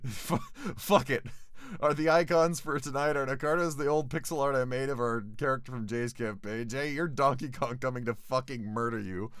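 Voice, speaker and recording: A man's voice: mid-range voice, moderate pace, fairly bright tone, clean tone, no echo, studio quality. A man running an online tabletop game stream, chatting casually and jokingly, with some swearing. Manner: jokey, swears freely.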